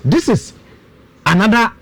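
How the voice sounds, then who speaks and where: A man's voice: high-pitched, sing-song, a little slow, a bit bright, no room sound. A man with a Ghanaian accent explaining things emphatically and step by step in a radio discussion, switching between two languages. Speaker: guest political and economic commentator.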